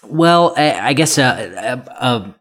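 A man in his thirties co-hosting a comics podcast, explaining his take in halting, hesitant bursts with dry jokes. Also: mid-range voice, lively intonation, a bit bright. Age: 30s. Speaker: podcast co-host. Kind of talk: casual book club discussion.

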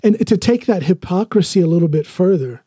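A man's voice: high voice, lively intonation, a little fast, mellow, husky, no echo, clean studio sound. An American man hosting a podcast, chatting casually and bluntly, often laughing as he talks. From United States. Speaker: podcast host.

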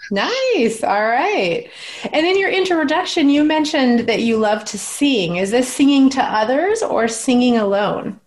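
A woman's voice: high voice, animated, measured pace. A woman hosting an interview podcast in an informal, enthusiastic and affirming way. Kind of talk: casual interview chat.

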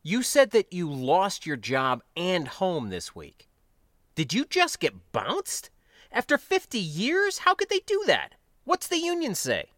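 Guy doing round middle aged cargo worker, earnest n amazed, pitch up and bouncy, close dry audiobook sound. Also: very animated, slow, a bit bright, no room sound, exaggerated voice. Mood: surprised, curious. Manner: earnest, surprised, questioning.

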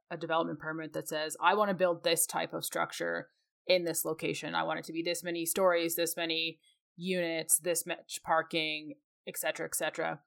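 A woman's voice: low voice, vocal fry, fairly bright tone. A woman with a Canadian accent co-hosting a podcast, explaining things in a casual, conversational way. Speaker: podcast co-host; mechanical engineer.

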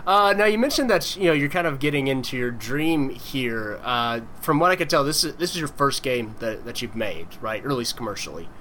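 A man's voice: mid-range voice, expressive, a little fast, hardly any pauses, sharp tone, slight background noise. A man hosting a podcast, reading the intro and then interviewing a guest in a friendly, joking way. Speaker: podcast host.

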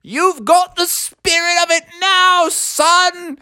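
A woman's voice: very high voice, fairly even intonation, slow pace, bright, forceful tone, no room sound, clean studio sound, pitched above the performer's own voice, exaggerated voice. A woman voicing a wild, rowdy male owl for a children's story, cocky and playful, whooping and hollering. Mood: gleeful.

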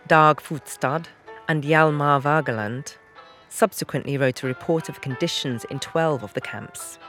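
A narrator reading scripted announcements and historical notes for an audio drama in a documentary style. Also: medium pitch. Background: music.

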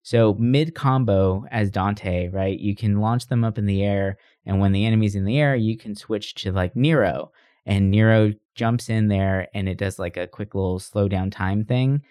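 Male podcast co-host, lowish voice, dry close sound, informal and rambling gamer talk. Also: moderate pace, dark tone, dry recording. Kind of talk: casual gaming chat.

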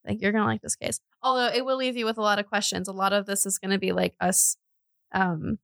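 A woman with a bright, forward voice recorded close and dry. She tells true crime as a casual podcast host, chatting in a jokey, giggly manner. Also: medium pitch, flat delivery, fast talker, creaky, dry recording.

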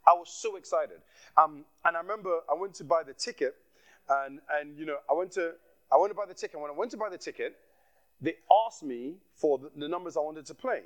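A man's voice: a little high, brisk. A man from Nigeria preaching as a guest speaker, passionate, often repeating his phrases and laughing at himself. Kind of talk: sermon with personal testimony.

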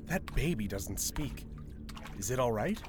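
An actor playing a bleak, sardonic thrall, a worn-out and fatalistic survivor, in an audio drama. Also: male voice, mid-range voice, lively intonation, a little slow, background noise, natural voice.

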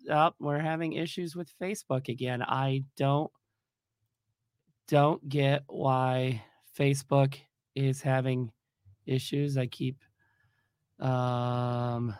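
A man hosting a livestream chat, hoarse from a cold, rambling with lots of fillers and restarts. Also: fairly high pitch, sharp tone, no room sound. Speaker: podcast host. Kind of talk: casual livestream talk.

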